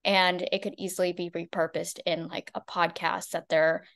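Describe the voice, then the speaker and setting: A woman from the United States co-presenting a webinar over a video call, earnest and a little halting. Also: lowish voice, level tone, vocal fry, fairly bright tone. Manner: earnest, with some restarts. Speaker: social media marketer, co-presenter.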